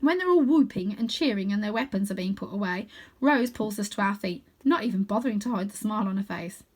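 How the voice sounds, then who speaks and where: A woman narrating a children's storybook in an expressive read-aloud style. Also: medium pitch, quick pace. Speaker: storybook reader.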